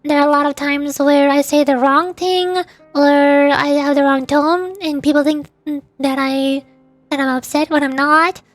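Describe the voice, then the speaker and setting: A woman chatting casually on a game livestream in a hesitant, halting, self-deprecating way. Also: high voice, fairly even intonation, no room sound, soft background music. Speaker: livestreamer, VTuber voice actor. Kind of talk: casual livestream chat while playing a game.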